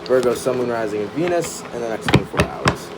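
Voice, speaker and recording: A man's voice: medium pitch, a little fast. Chatty man reading tarot, noisy line. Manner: casual, chatty, frequent fillers and tag questions. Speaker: tarot reader. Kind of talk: live-streamed tarot reading. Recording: steady background noise.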